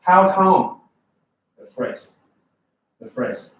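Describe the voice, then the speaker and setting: Man, Chinese accent, lecturing, fairly high voice, echoey room, from far off. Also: very slow. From China. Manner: lively, asks students questions often. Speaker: English test tutor. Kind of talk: classroom lecture. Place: classroom.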